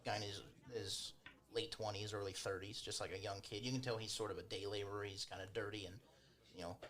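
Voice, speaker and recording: A man's voice: on the low side, steady tone, brisk, a bit bright. A man working as game master, casually improvising the narration of a tabletop roleplaying game.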